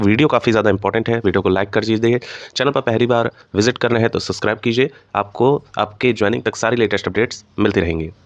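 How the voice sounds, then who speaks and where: A man with a mid-pitched voice presents an informal news and analysis update for students. He explains point by point and peppers his talk with English terms. The sound is dry, with little room echo. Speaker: host of an exam news channel.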